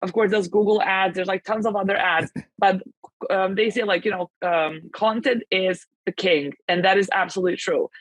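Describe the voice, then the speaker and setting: A woman whose first language is Serbian speaks as a podcast guest, her voice in a plain middle register for a woman. She talks fast and rambling, with lots of "like" and self-corrections. The recording is close and dry, with no room sound. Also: mid-range voice, fairly even intonation, dry recording. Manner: fast, rambling, many fillers and restarts. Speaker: guest, translation agency founder. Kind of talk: casual podcast interview.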